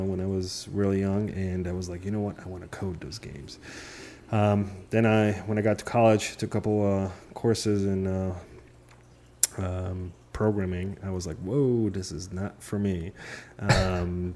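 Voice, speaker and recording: A Polish-born man in his thirties, a native Polish speaker, chatting easily as a podcast guest, laughing often and pausing on fillers. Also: low voice, mellow, a little hiss or hum. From Poland. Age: 30s. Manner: easygoing, laughs often, many filler pauses. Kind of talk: casual interview chat. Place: home.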